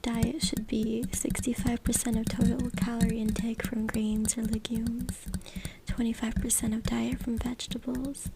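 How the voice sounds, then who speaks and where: A woman reading aloud in a breathy, unvoiced ASMR whisper with informal, hushed asides. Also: a little high, level tone, normal speed. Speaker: ASMR creator. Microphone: close USB microphone. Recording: noisy USB mic with clicks, tapping. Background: tapping, scratching, clicks.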